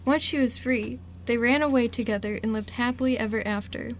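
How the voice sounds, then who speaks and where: High-voiced woman narrating a story. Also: brisk. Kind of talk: scripted story narration for amateur radio drama. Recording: noisy amateur radio recording.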